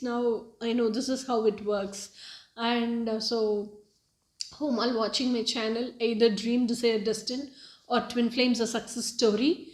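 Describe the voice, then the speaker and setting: A fairly high-pitched woman whose first language is Tamil talks earnestly to her viewers. She hesitates often and fills her pauses. Her voice echoes in a reverberant room. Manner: hesitant, many fillers, earnest. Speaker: spiritual channel host. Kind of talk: casual video monologue.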